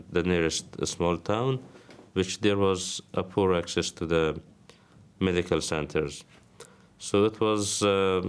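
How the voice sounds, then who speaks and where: Very deep-voiced Afghan man, halting. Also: smooth. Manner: halting, many pauses and fillers. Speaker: interviewee. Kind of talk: documentary testimony. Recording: music bed under the voice.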